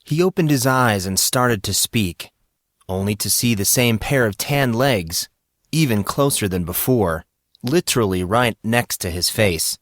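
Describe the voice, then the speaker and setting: Animated male audiobook narrator. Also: fairly low pitch, a little slow, no echo, clean studio sound. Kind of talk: scripted fiction narration, dramatic audiobook reading.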